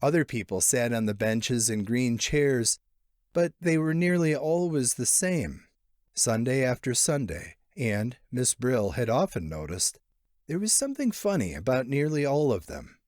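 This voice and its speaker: Male narrator, short story audiobook, mid-pitched voice, animated intonation, expressive phrasing, lingering pauses. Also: no room sound, studio quality.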